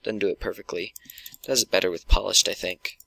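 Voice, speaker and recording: A man with a sore throat and blocked nose talks over his game, stopping and restarting his sentences as he goes. His voice sits a little low yet sounds bright and pushed forward. Keyboard and mouse clicks patter quietly behind him. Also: fairly even intonation, gravelly, no room sound, rough recording. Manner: halting, many ums and restarts. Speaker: gaming YouTuber. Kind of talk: casual gameplay commentary.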